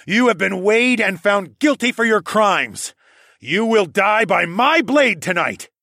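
A man voicing a grim, righteous warrior hero, forceful and declamatory, in a fantasy audiobook. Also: sits high, sing-song, a little slow, gravelly, no room sound, studio quality, pitched above the performer's own voice, full character voice. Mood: menacing.

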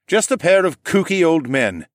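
A man voicing a gruff male archer companion who growls his wry remarks. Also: a little high, big pitch swings, normal speed, no echo, clean studio sound, voice pushed up.

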